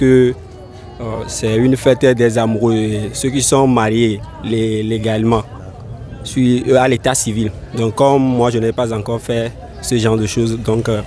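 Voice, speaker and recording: A man's voice: mid-range voice, dark tone. A young man answering hesitantly in a casual street interview outdoors. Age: young adult. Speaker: interviewed passer-by. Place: outdoors by a wall. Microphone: small mic held by interviewer.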